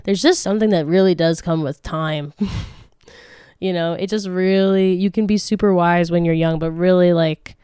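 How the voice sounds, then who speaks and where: A woman in her late twenties hosts a casual question-and-answer podcast, talking in a frank, rambling way that is full of laughter and restarts. Her voice sits a little low and sounds mellow and smooth. It is recorded close up in a dry, dead room. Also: fairly even intonation, moderate pace, no room sound. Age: late 20s. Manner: chatty, frank, swears freely, laughs often, many restarts. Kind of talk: casual chat.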